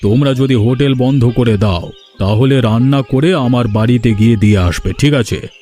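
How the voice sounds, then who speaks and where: A dark-toned, mellow village patron acted by a man in a cartoon fairy tale. He speaks firmly and with authority, with faint music behind him. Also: medium pitch, normal speed, lightly acted. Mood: firm, reassuring. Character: authoritative village gentleman, generous patron and stern judge.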